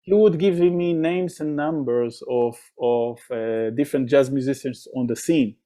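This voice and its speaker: A man's voice: mid-range voice, unhurried, warm tone. A man from Israel, a drummer, telling stories in a casual interview, with many fillers and restarts. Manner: rambling, many fillers and restarts, acts out quoted voices.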